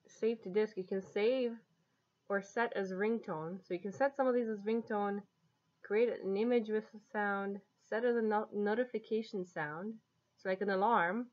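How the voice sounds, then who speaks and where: A woman's voice: mid-range voice, a little mellow. A woman in her twenties chatting casually as a vlogger, recorded on a webcam at home. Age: 20s. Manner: giggly. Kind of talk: casual chatty app demo. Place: small room at home. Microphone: webcam at desk distance. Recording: dull lo-fi webcam sound.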